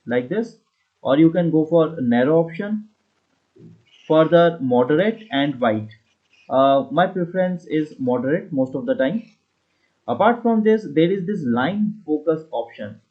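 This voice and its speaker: A man giving a casual step-by-step software tutorial as an instructor. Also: on the high side. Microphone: voice-over for screen recording. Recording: dull lo-fi voice recording.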